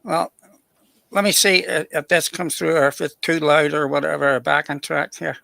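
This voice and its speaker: Bright-voiced older man. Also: on the high side, steady tone, moderate pace, vocal fry. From Scotland, raised in Northern Ireland. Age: 60s. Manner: easygoing, wry, repeats words. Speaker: guest musician. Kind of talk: casual chat on a video call.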